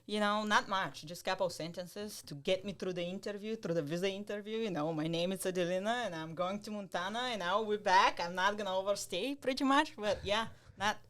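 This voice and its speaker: A woman's voice: mid-range voice, brisk, few pauses, a bit bright. A woman in her thirties from Bulgaria with a Bulgarian accent, a loan officer speaking bluntly and directly as a guest on a podcast. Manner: blunt, direct, laughs easily. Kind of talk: casual podcast interview.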